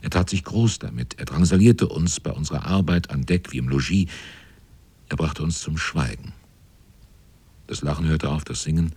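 An actor playing a sailor who narrates a radio drama in a grave, measured, brooding literary manner. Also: male voice, rumbling low, darkish tone, husky, no room sound. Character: brooding sailor narrator looking back on the crew.

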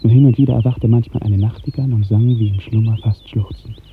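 A man narrating a literary radio drama in 1950s style, with measured, expressive storytelling. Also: on the low side, slight background noise. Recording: muffled, tinny 1950s radio recording.

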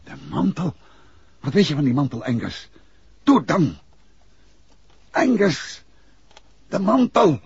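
An actor playing a decisive, commanding gentleman detective in a 1960s radio drama. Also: on the high side, very animated, slow, vocal fry, long pauses, husky, no echo, exaggerated voice. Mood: urgent, coaxing. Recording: dull vintage radio drama recording.

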